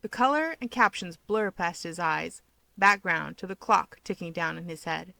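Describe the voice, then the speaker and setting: A woman's voice: lowish voice, lively intonation, moderate pace, creaky, sharp tone, no echo. A woman hosting and narrating a story in a scripted read-aloud style. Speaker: channel host and fan fiction reader.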